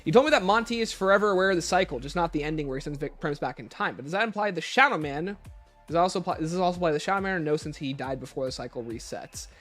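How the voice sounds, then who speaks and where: A man with a fairly high, very animated voice hosts a casual livestream. He reads viewer questions aloud and answers them conversationally, turning aside to chat with the audience. Light music plays behind him. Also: racing. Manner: conversational, breaks off to greet and thank viewers. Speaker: livestream host.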